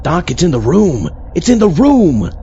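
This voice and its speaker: A man's voice: high-pitched, big pitch swings, moderate pace, mellow, heavily acted. A man playing the sidekick and host of a comic audio show. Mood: nervous. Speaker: host introducing old radio dramas. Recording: muffled recording, clear background noise.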